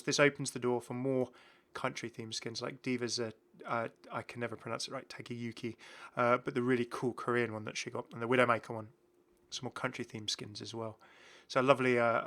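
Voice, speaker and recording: A man's voice: medium pitch, bright, forceful tone. A man presenting a gaming video in rambling, casual commentary, chatty and enthusiastic as he goes off on tangents.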